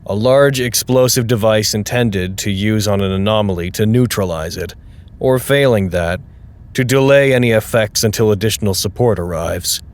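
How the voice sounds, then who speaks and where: Actor as slightly low, measured male log-keeper. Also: natural voice. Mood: matter-of-fact. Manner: measured, dry, explanatory. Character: matter-of-fact man recording a log. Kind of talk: audio drama log narration.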